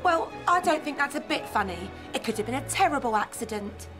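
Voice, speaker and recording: A prim, literal-minded Edwardian wife in radio drama. Her voice is very high and lively in its swings, with music clearly underneath. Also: a little fast. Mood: indignant. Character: prim, humourless upper-class Edwardian wife.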